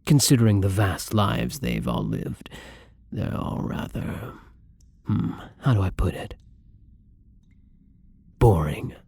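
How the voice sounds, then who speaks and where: A man playing a gentle, lonely, tormented vampire in an intimate ASMR roleplay, speaking softly and hesitantly in a breathy, brooding way, often near a whisper. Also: deep voice, sing-song, no room sound, heavily acted. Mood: lonely, weary. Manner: slow, hesitant, brooding, tender. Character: melancholy young male vampire, gentle, lonely, tormented, once human.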